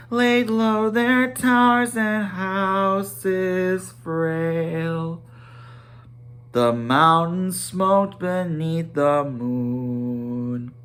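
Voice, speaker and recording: A man voicing a solemn dwarf who sings an ancient lament in slow, drawn-out lines for a storybook reading. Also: high voice, a bit bright, clear, resonant tone, pitched above the performer's own voice, heavily acted.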